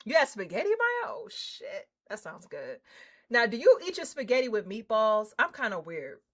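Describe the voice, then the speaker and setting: A woman livestreamer chatting casually with her viewers in an excitable, slangy, informal way. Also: on the high side, moderate pace. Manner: excitable, hyped, effusive; slangy, informal, drops swearing in. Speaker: livestreamer hosting a game stream.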